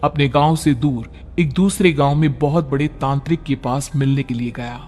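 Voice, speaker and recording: A man narrating an animated horror story in a dramatic, storytelling manner. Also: mid-range voice, moderate pace, faint music behind.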